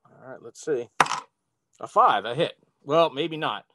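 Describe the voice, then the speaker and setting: A man's voice: medium pitch, expressive, measured pace, very noisy. A man chatting casually as a player in a tabletop role-playing game.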